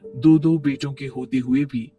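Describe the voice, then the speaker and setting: A man's voice: medium pitch, steady tone, measured pace, warm tone, soft background music. A man narrating a scripted moral story for a cartoon.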